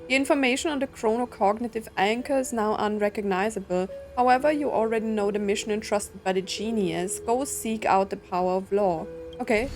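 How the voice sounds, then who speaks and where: A woman's voice: medium pitch, a little mellow. A woman streamer giving casual playthrough commentary, reading game text aloud and musing in short reactions.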